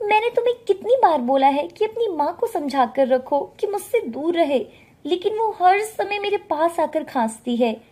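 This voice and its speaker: A woman's voice: very high-pitched, very animated, moderate pace, warm tone, pitched above the performer's own voice. A woman voicing a greedy, scornful young pregnant daughter-in-law in a story, nagging and taunting. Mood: irritated, threatening.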